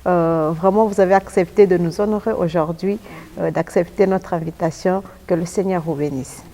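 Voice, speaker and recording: A woman's voice: on the low side, steady tone, moderate pace, mellow. A woman, speaking as a courteous, formal TV host in a religious interview.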